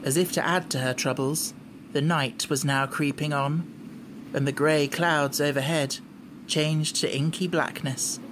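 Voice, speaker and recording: A man's voice: on the high side, steady tone, unhurried, background noise. A man reading scripted storybook narration as an audiobook narrator.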